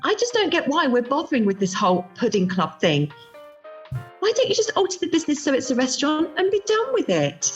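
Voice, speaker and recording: Woman acting exasperated british bestie in a radio play, highish voice all over the place, blunt n teasing, zoom recording. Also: huge pitch swings, brisk, lo-fi sound. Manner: frank, teasing, dramatic. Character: blunt, exasperated, fond woman best friend. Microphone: video call. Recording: home video-call recording with music.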